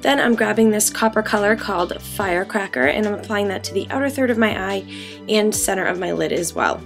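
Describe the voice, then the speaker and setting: A young woman in her twenties, raspy from a cold, chatting through a makeup tutorial in a bedroom, full of ums and likes. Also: mid-range voice, a little fast, over music. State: getting over a cold. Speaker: beauty vlogger. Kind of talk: casual makeup tutorial talk. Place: bedroom, indoors.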